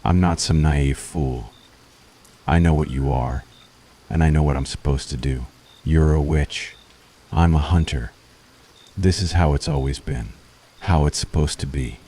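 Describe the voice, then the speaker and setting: Witch hunter, played by a man: extremely low, mellow voice, conflicted and intense, deliberate delivery for an ASMR roleplay. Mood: stern. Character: brooding, conflicted male witch hunter in love. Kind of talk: ASMR roleplay, scripted monologue to listener.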